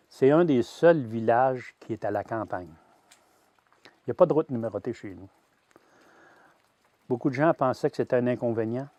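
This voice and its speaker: A man in his sixties with a Québécois French accent, a farmer giving a plain personal testimony, pausing often with fillers and restarts. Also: mid-range voice, dark tone, dry recording. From Quebec. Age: 60s. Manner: hesitant, many "euh" fillers and restarts. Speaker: grain-fed veal farmer.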